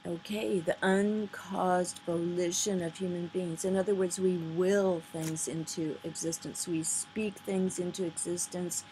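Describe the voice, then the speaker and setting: A woman giving an informal, earnest spoken commentary, pausing between phrases and sometimes reading aloud, on a noisy amateur recording. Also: lowish voice, darkish tone. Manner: earnest, halting delivery with pauses between phrases. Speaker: vlogger. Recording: noisy amateur recording, poor sound quality.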